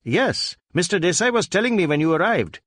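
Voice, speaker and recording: Man doing a sharp, high-pitched pompous police superintendent. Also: very animated, fairly quick, no echo, clean studio sound, heavily acted. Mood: dry, brisk. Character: brusque, scornful, domineering middle-aged Indian police superintendent.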